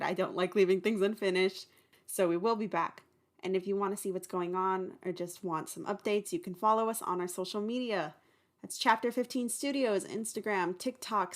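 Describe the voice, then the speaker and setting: A woman, the show's creator, speaking directly and casually to listeners about a break. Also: medium pitch. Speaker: podcast creator. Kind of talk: casual announcement.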